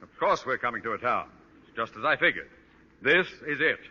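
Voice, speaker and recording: An actor playing a pompous, hot-tempered middle-aged school principal who sputters when outraged, in a 1940s radio sitcom style. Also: male voice, medium pitch, big pitch swings, brisk, breathy edge. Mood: pompous, commanding. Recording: vintage radio, dull, reverberant, hissy.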